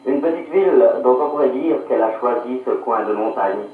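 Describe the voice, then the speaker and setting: A man's voice: medium pitch, lively intonation, a little fast, reverberant, phone-quality sound, soft background music. A man working as a radio interviewer in 1950s style, formal and carefully phrased, on an old archive recording. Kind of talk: scripted 1950s radio reporting. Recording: scratchy 1950s radio archive, distant, band-limited.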